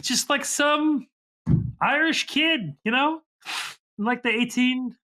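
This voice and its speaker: A woman co-hosting a podcast in casual conversation who speaks haltingly while searching for her words. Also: sits high, pushed and bright. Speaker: podcast co-host.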